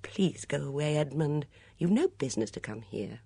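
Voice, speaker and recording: A woman's voice: deep voice, lively intonation, normal speed, gravelly, dark tone, husky, no room sound, studio quality, exaggerated voice. A woman voicing a cool, reserved young woman in a story, clipped and dismissive.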